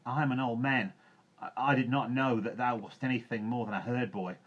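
A man voicing a frightened, superstitious old village hunter in a storybook, husky, pleading and servile. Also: medium pitch, steady tone, fairly quick, a bit bright, lo-fi sound, voice pushed up. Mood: fearful, pleading.

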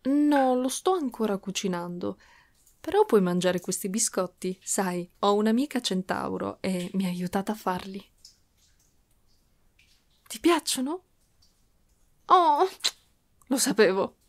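Casting: female performer as clingy yandere dragoness for ASMR roleplay; medium-pitched, unhurried, sweetly possessive and teasing. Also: animated. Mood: doting, caring. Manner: cooing, teasing, lingering on words, giggly. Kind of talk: ASMR roleplay, intimate character acting.

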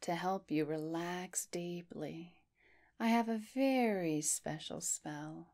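A woman in her thirties speaking as a hypnotherapist in a soothing ASMR guided hypnosis, soft and breathy, often slipping into a whisper, close to the mic. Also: lowish voice, slow pace. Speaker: hypnotherapist, host. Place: small dim indoor room, close up. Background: soft music.

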